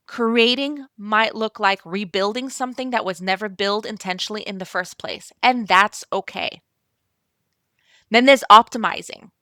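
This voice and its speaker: A middle-aged woman from Belgium hosting her podcast, speaking gently and encouragingly straight to the listener in a calm scripted monologue. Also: fairly high pitch, no room sound, studio quality. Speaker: podcast host and operations consultant.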